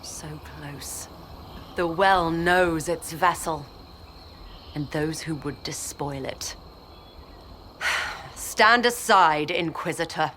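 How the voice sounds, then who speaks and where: An actress playing a proud, idealistic mage lieutenant in a video game cutscene, speaking formally and grandly. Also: female voice, deep voice, takes it slowly, pauses often, bright, forceful tone, a little hiss or hum, exaggerated voice. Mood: cold resolve.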